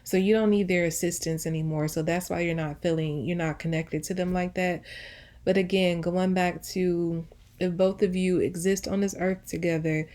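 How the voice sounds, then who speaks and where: A woman's voice: fairly low pitch, fairly even intonation, moderate pace, a little mellow. A woman with a casual, conversational delivery giving an intuitive pick-a-card reading, often circling back to rephrase her thoughts as she goes. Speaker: tarot reader, host.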